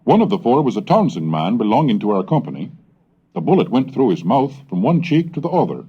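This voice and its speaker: A man giving a measured, deliberate reading of an old diary aloud, slowing on the dates. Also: mid-range voice, very animated, warm tone, breathy edge. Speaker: reader of historical texts. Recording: dull lo-fi 1970s cassette recording.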